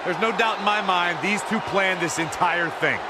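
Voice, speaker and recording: An actor playing a biased, indignant color commentator in a wrestling video game, loud, sneering and opinionated. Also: male voice, high voice, moderate pace, very noisy, close to an everyday voice. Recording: loud music under voice.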